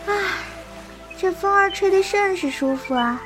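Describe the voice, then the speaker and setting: Very high, childlike, willful girl. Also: lively intonation, unhurried, music in the background. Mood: pleased. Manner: cute, pouty, childlike. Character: willful, lively young girl disciple, childlike and impulsive. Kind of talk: Chinese wuxia radio drama acting.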